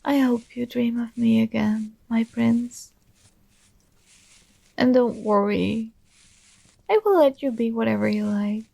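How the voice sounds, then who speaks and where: A woman playing a possessive but tender dream princess in an ASMR roleplay, coaxing and gently commanding, close to the microphone. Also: fairly high pitch, slow pace, gravelly, pauses often, no room sound, heavily acted. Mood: doting, possessive. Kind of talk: ASMR roleplay, soothing intimate monologue.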